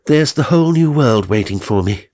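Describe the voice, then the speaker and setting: A man voicing a good-natured but irritable gentleman spirit, proper and emphatic, in a ghost story. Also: medium pitch, lively intonation, moderate pace, voice pushed up, lightly acted. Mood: eager. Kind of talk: dramatised audiobook ghost story.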